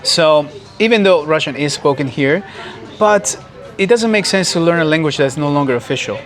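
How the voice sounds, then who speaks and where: A man whose first language is Spanish talks as an interview guest, earnest and reflective. His voice sits a little high, and he takes his time with his points outdoors in the city. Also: lively intonation, normal speed, a bit bright. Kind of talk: casual interview.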